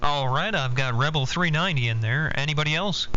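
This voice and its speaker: A man's voice: on the high side, a little fast. A man running a CB radio check-in, calling stations in a procedural, repetitive way. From Arkansas, United States. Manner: procedural, repeats himself. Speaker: CB radio net controller. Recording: dull CB radio audio, loud hum.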